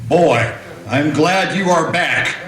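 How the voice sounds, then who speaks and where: A man playing an uneasy frontier deputy in a radio play, speaking with rough country grammar. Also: a little high, slow pace, fairly bright tone, soft background music. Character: jumpy frontier deputy, spooked and wondering. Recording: echoey room.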